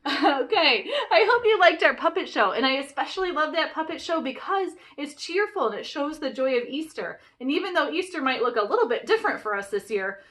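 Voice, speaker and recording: High-voiced woman addressing children. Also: fairly bright tone. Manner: encouraging, simple explanations for children. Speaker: children's ministry presenter and puppeteer. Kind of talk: children's church message, informal address.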